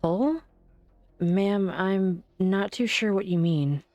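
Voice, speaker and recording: A woman playing an earnest, composed doctor and researcher in an amateur game fan dub, polite, measured and sincere. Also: medium pitch, darkish tone, no echo, highs cut off.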